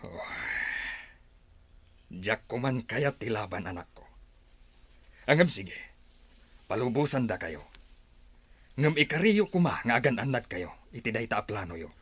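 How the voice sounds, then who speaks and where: Careful middle aged dad, tribe leader, radio drama, medium pitch, reassuring and concerned. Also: male voice, big pitch swings, measured pace, long pauses, husky, no echo. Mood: cautious concern.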